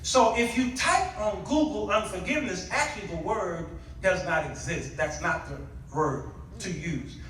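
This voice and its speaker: A man preaching an impassioned sermon, exhorting his listeners with pauses and rhetorical questions. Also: high voice, a bit bright, a little hiss or hum. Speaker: guest preacher. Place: large echoey indoor church with congregation. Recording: echoey church, distant mic, congregation responding.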